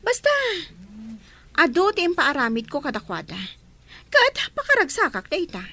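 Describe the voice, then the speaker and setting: A spoiled young Filipino woman in a radio drama. Her voice is very high, piercing and swoops dramatically as she bosses people about. She is haughty, cruel and quick to scold. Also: wildly swooping pitch, sharp tone, full character voice. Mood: haughty, defiant. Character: spoiled, bossy, cruel young woman, domineering elder sister.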